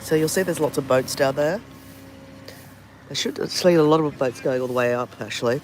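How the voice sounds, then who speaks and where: A man's voice: on the high side, fairly quick, slight background noise. A man with a sore throat and a nasal voice, chatting casually on a live-stream vlog from an outdoor beach, talking into a phone held at arm's length. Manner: chatty, rambling, greets viewers by name. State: sore throat, has flu. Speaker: travel vlogger.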